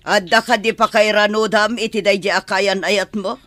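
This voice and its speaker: A woman's voice: mid-range voice, steady tone, moderate pace, pushed and bright, no echo, close to an everyday voice. A strict mother in a radio drama, as a woman acts her, scolding and insistent. The voice sits close and dry, with no room around it. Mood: disapproving. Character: stern middle-aged mother pushing her son's match. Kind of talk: amateur radio drama acting.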